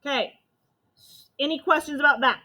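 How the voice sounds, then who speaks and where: A young woman teacher with a loud, fairly high voice walks her class through a math lesson. She explains each step plainly and checks in with the students for questions. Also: normal speed.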